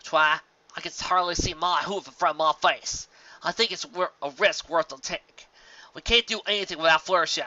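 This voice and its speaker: A reader voicing a steady, practical, folksy female farm pony in a fan fiction story. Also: mid-range voice, moderate pace, no echo, dull audio, pitched above the performer's own voice, lightly acted. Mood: urgent.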